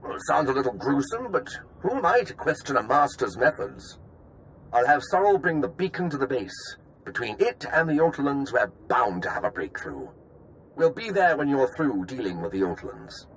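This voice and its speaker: A male actor playing an enthusiastic, scholarly military lieutenant in a video game, chatty, expressive and polite. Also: mid-range voice, moderate pace, slight background noise, low-quality recording. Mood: courteous, obliging.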